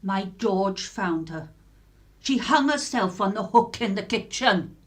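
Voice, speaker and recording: A woman's voice: medium pitch, animated, slow. An actress playing a nosy, chatty working-class English woman who puts on airs, in a radio drama. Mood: grave. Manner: gossipy, colloquial, affected politeness.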